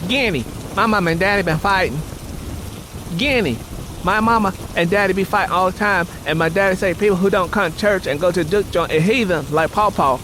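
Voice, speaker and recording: A man's voice: sits high, brisk, noisy background, pitched above the performer's own voice, close to an everyday voice. A man voicing an inquisitive, naive, chattering little grandson with a Southern US accent in an audiobook. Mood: curious, insistent. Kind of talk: audiobook character dialogue. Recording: rain and music behind the voice.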